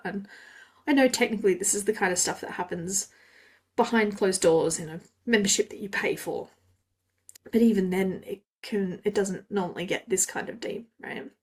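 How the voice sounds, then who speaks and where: A woman's voice: mid-range voice, darkish tone. A woman from Australia hosting a podcast, talking through her thoughts alone, musing and often trailing off with fillers. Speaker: podcast host. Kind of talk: solo reflective podcast talk.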